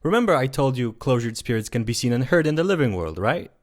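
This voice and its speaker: A man's voice: medium pitch, very animated, fast, close to an everyday voice. A man playing a casual, offhand guide to the spirit world in an audio drama.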